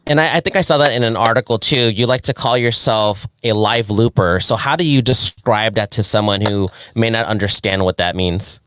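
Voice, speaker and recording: A man's voice: lowish voice, moderate pace, hardly any pauses, a bit bright, no echo, studio quality. A man from San Diego hosting a music interview show, friendly and leaning on fillers like "you know". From San Diego, California. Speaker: show host.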